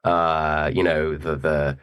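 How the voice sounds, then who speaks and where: A young man with a British accent tinged with American, speaking as an actor guest in a relaxed, hesitant interview chat, laughing easily. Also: a bass voice, slow pace, clear, resonant tone. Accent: British with a hint of American.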